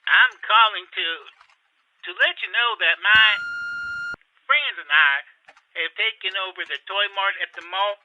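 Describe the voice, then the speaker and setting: A man's voice: high voice, unhurried, long pauses, dull audio, background noise. A man voicing a cute, innocent and slightly dim teddy bear in a comic audio drama, hesitant and easily sidetracked. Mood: nervous, naive.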